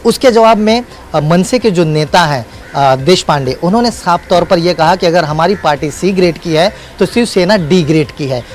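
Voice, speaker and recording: A man's voice: sits high, normal speed, few pauses, slight background noise. A man reporting live as a news correspondent, speaking unscripted in emphatic, repetitive phrases with hesitant fillers.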